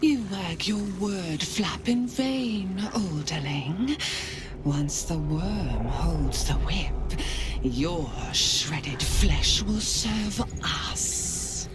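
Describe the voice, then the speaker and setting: Casting: unhinged bloodthirsty assassin, very drawn-out singsong delivery, swooping pitch, music underneath. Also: female voice, lively intonation. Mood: sadistic contempt. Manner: gleeful, singsong, archaic macabre phrasing. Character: deranged, bloodthirsty female cultist assassin. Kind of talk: video game villain acting. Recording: music behind the voice.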